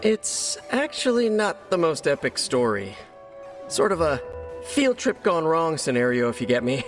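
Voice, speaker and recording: A man's voice: fairly high pitch, big pitch swings, unhurried, soft background music. An actor voicing a young, wisecracking, awkward American male superhero in a video game, joking in a hesitant, rambling way. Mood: sheepish, joking.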